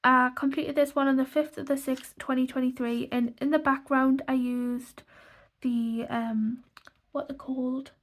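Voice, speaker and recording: A woman's voice: sits high, little pitch movement, unhurried. A young woman, stuffy from a cold, chatting casually through a colouring book flip-through with lots of "like" fillers. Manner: rambling, many "like" fillers and restarts. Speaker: colouring channel host.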